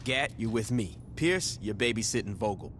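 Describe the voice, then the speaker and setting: An actor playing a curt, sardonic street gang boss in a video game, terse and commanding. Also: male voice, lowish voice, expressive, normal speed, a bit bright, long reverb tail, slight background noise. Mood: curt, wary. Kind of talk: video game cutscene acting.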